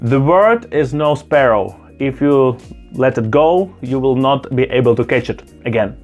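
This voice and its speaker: A man's voice: medium pitch, expressive, unhurried, soft background music. A man, a non-native English speaker, vlogging to camera indoors in an earnest, emphatic way, repeating phrases for stress. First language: not German; Russian-speaking background. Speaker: YouTuber. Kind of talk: direct-to-camera opinion vlog.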